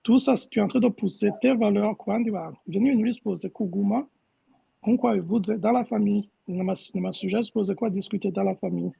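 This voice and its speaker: A man's voice: high voice, fast, gravelly, breathy edge, highs cut off. A man asking the candidate questions in a virtual meeting, talking hesitantly and mixing languages. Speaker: community member asking questions. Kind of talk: casual chat. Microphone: video call.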